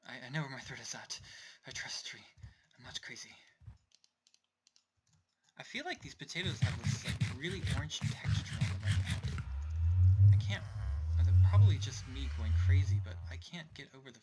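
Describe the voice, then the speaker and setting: A man's voice: mid-range voice, big pitch swings, moderate pace, pauses often, background noise. Rambling young man narrating gameplay. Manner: rambling, self-talking, distracted asides. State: voice getting hoarse. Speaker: let's play host. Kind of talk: casual gameplay commentary.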